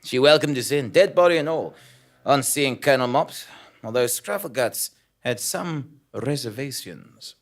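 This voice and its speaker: A man playing an excitable, blundering investigative journalist who narrates his own comic audio drama with theatrical exclamations. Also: medium pitch, a little slow, close to an everyday voice. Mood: excited.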